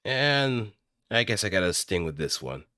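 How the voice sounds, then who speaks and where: A man's voice: fairly low pitch, sing-song, normal speed, sharp tone, dry recording, clean studio sound. A man talking casually to himself while streaming. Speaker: streamer practising voice acting. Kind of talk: casual self-talk on a livestream.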